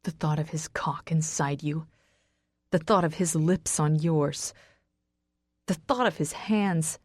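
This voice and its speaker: A woman voicing a brooding, possessive, hot-tempered man with a rasp, as a character in a romance audiobook. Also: sits low, slow, dry recording, studio quality. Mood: low, jealous. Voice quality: rasping.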